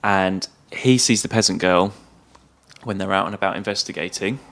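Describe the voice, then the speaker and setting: A man with a fairly low voice co-hosts a casual film podcast. He retells the story in a loose, conversational manner, feeling his way through it with some hesitation. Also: normal speed, a bit bright. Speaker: podcast co-host.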